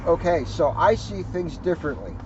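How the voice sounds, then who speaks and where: A man's voice: on the high side, expressive, normal speed. A man, a police officer, reading a children's book aloud and explaining it in simple terms. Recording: dull lo-fi sound, faint background noise.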